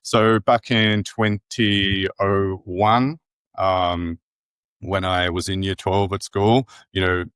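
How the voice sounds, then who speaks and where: A man's voice: deep voice, flat delivery, takes it slowly, a bit bright, clear, resonant tone, dry recording. An Australian man in his forties, a podcast guest explaining his thinking at length in a thoughtful, rephrasing way. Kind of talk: casual interview chat.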